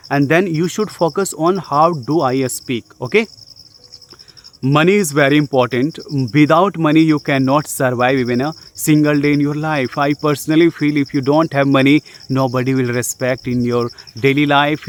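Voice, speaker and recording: A young man with an Indian accent gives a casual spoken English lesson, earnestly repeating his points and often checking in with "okay?" between filler sounds. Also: a little high. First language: Jharkhandi language. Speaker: English teacher.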